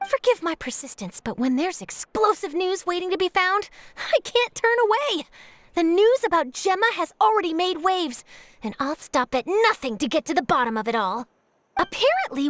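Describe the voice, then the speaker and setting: Perky, very high-voiced nosy reporter. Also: female voice, a bit bright, heavily acted. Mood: determined, breezy. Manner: perky, pressing, theatrical. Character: eager, nosy, persistent young female news reporter. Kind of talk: video game voice acting.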